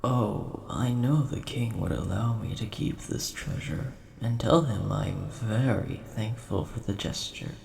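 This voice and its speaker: A man voicing a large, mild-mannered, nerdy dragon with a gravelly voice and a polite, easily offended manner, in a scripted audio roleplay spoken to the listener. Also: fairly low pitch, a little mellow. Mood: friendly, grateful. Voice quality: gravelly, creaky. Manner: polite, measured, mildly indignant. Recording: roomy echo, faint background hiss.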